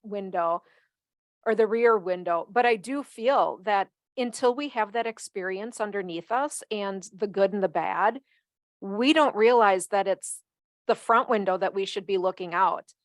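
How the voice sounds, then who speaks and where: A woman's voice: mid-range voice, unhurried, no room sound. A woman in her fifties hosting a podcast, reflecting on life and putting questions to her guest. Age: 50s. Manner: reflective, builds up to emphatic questions. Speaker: podcast host. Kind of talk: interviewing a guest.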